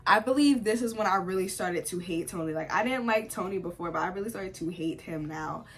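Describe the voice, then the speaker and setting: A young woman vlogger with a congested cold, chatting at home in a sit-down video and giving opinionated takes full of "like" and sudden animated outbursts. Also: on the low side, fairly quick, faint music behind. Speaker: vlogger reviewing a TV series. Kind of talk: casual sit-down chat to camera.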